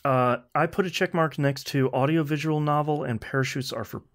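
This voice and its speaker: A man's voice: a little high, brisk. Casual male podcast host, mid-range voice.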